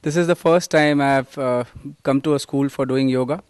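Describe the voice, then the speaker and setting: A man from India giving a short, halting testimonial as a yoga course student. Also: medium pitch, fairly even intonation, clear, resonant tone. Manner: halting, with many fillers.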